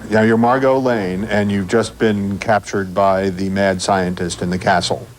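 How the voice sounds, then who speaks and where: A man asking questions in a conversational interview, with a wry, gently teasing manner. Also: fairly low pitch, fairly even intonation, a little slow, a little hiss or hum.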